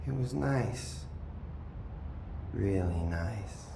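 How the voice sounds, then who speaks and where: An actor playing a possessed, eerily calm brother in a video game, telling things in a detached, dreamy and menacing way. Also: male voice, vocal fry, heavily acted. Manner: slow, detached, deliberate storytelling with lingering pauses. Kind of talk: dramatic video game acting. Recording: echoey room, noticeable low rumbling noise.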